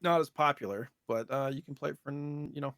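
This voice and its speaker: A man's voice: fairly high pitch, fast, fairly bright tone, clear, resonant tone, no echo, clean studio sound. A man co-hosting a podcast, chatting casually and jokingly about gaming news. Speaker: podcast co-host.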